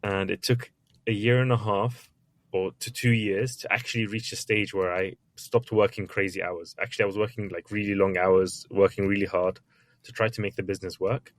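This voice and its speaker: A man's voice: lowish voice, flat delivery, moderate pace, no room sound. A man, an author and entrepreneur, answering interview questions in a candid, chatty way with frequent restarts. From Iraq, raised in England. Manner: candid, chatty, with many restarts and little acted quotes.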